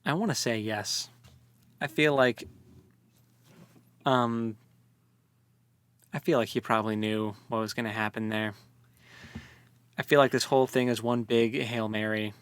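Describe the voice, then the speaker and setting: A man with a mid-pitched voice and a very dry, close sound chats as a co-host about a book. He talks informally, with a jokey touch, often feeling his way through a thought. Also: fairly even intonation, unhurried, pauses often, fairly bright tone, smooth, no room sound. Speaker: podcast co-host. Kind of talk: casual book discussion.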